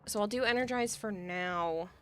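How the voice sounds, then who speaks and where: A woman streaming a game and chatting casually, thinking aloud as she plans, her voice going hoarse. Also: medium pitch, moderate pace. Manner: thinking aloud, hesitant, soft at times. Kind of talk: casual game livestream chat.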